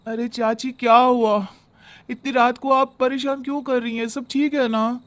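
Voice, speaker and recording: A man's voice: very high-pitched, flat delivery, unhurried, a bit bright, no room sound, voice pushed up. A man voicing a puzzled, sleepy young woman with a mild, questioning manner in a narrated story. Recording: background music under the voice.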